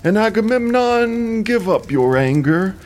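A man's voice: high-pitched, expressive, darkish tone, pitched above the performer's own voice. A man playing a wise, weary old Greek king in an audio drama, giving grave, admonishing counsel. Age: older. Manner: slow, grave, persuasive counsel. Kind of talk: audio drama epic acting.

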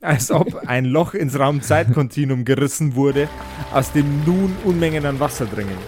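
A man's voice: a little high, moderate pace, a little mellow, faint music behind. A man narrating an improvised fantasy tabletop game as its game master.

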